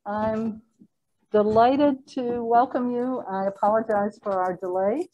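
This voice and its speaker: A woman with a Spanish and French accent, co-director of a human rights center, giving a formal, measured welcome and introducing a guest speaker at a hybrid talk. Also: a little high, steady tone, warm tone, lo-fi sound. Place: lecture room at a hybrid event.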